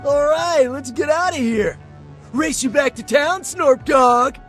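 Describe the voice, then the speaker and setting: A man's voice: very high voice, slow, fairly bright tone, faint music behind, exaggerated voice. A man voicing a laid-back, big-hearted creature who talks like a slangy surfer bro in a video game. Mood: hyped.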